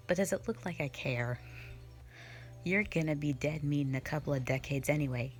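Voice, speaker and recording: Woman doing a cold inhuman slayer girl, low voice, slow and mocking, soft music behind. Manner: dismissive, mocking, blunt. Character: cold, sardonic female nonhuman slayer, young adult. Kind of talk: audio roleplay drama.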